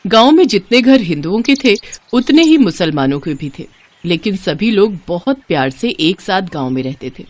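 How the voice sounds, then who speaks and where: Animated woman narrating a village tale. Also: medium pitch, normal speed, no echo. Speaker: storyteller. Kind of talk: scripted children's story narration.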